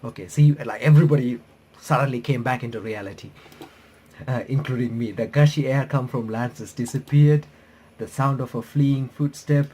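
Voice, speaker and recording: A man reading game text aloud and adding casual, halting commentary as a playthrough streamer. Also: mid-range voice, dark tone, breathy edge. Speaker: YouTuber playing and reacting to a visual novel.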